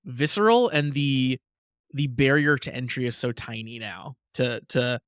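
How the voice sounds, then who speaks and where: Laughing man, mid-pitched, dry sound. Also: measured pace, fairly bright tone, no room sound. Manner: self-deprecating, laughs often, stammers. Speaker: podcast co-host. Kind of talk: casual chat.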